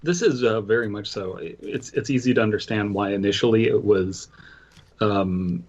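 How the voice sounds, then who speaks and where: A man co-hosting a casual podcast, reading documents slowly with hesitations and filler words. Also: on the low side, a little mellow. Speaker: podcast co-host. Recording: muffled lo-fi podcast mic.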